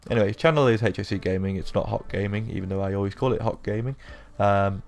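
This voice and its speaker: Croaky low-voiced man narrating gameplay. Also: quick pace, darkish tone, faint music behind. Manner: rambling, self-deprecating, with many fillers. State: croaky from a sore throat. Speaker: gaming YouTuber. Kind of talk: casual let's-play commentary.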